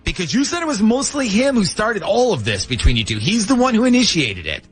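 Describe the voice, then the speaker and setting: A man's voice: sits high, very animated, brisk, faint music behind. A man hosting a casual morning radio show, talking through a prank call.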